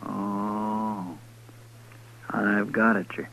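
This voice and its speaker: An actor playing an eager, deferential, simple-hearted deputy with a rural American western drawl in an old radio drama. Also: male voice, fairly low pitch, flat delivery, extremely slow, vocal fry, a bit bright, heavily acted. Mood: eager, curious. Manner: folksy, deferential. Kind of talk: 1950s radio western acting. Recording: muffled old radio recording.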